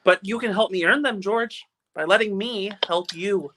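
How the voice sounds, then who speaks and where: A man's voice: sits high, normal speed, bright, forceful tone. Radio play angel: male actor as ancient but guileless heavenly helper, earnest and quaint. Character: innocent, earnest 293-year-old second-class guardian angel. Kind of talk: old-time radio play live reading.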